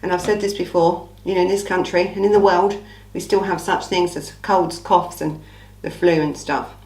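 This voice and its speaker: A woman from England hosting a casual true crime Q&A, her voice croaky from a cold, talking in a blunt, chatty, opinionated way. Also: fairly low pitch, steady tone, normal speed, a little mellow, roomy sound, distant mic, rough recording. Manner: chatty, blunt, opinionated, says "listen" often. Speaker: true crime channel host. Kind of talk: casual Q&A monologue.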